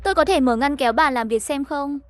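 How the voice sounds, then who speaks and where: A woman's voice: sits high, fast talker, a little hiss or hum. A woman reading a scripted horror story, narration and character dialogue alike. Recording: music playing behind the voice. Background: background music.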